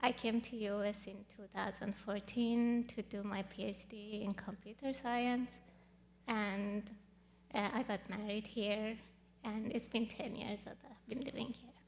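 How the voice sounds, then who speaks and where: Woman from Iran, earnest and halting, gravelly. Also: mid-range voice, fairly even intonation, in a large hall. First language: Farsi. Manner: earnest, halting with many fillers. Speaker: guest. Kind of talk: personal testimony interview.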